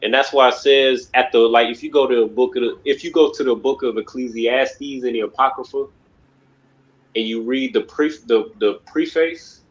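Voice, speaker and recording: A man's voice: mid-range voice, moderate pace. Rambling man teaching a class, lo-fi. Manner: rambling, emphatic, repeats "you know what I mean". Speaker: class instructor. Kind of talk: religious class lecture.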